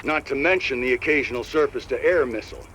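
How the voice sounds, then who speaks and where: A man's voice: mid-range voice, fast, background noise, lightly acted. A man voicing a wary military colonel who speaks in a clipped, suspicious way.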